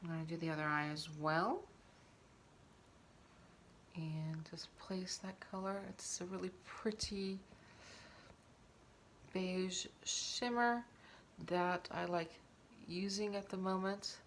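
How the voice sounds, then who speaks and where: A woman's voice: fairly low pitch, steady tone, slow pace, long pauses, a little hiss or hum. A woman hosting a casual makeup tutorial, explaining each step as she demonstrates it. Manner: instructional, talking while demonstrating.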